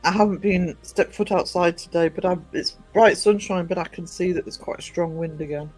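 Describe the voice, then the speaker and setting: Croaky guy on a home live stream, bit higher voice, rambly and chatty, faint music behind. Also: fairly even intonation, normal speed, low-quality recording. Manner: rambling, chatty, greets viewers warmly by name, frequent ums. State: croaky from just waking up. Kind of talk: casual live-stream chat. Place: indoors at home. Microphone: desk microphone.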